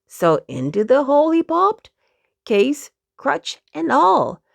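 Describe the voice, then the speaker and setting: Female children's storyteller, high-pitched and slightly creaky, dramatic emphasis, talks straight to the kids. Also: huge pitch swings, takes it slowly, dry recording. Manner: addresses young listeners directly, dramatic emphasis. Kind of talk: expressive storybook narration for children.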